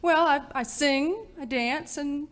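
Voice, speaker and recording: A woman playing a breezy, glamorous actress in a parody radio serial, coy and a little halting. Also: high-pitched. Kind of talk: parody old-time radio serial acting.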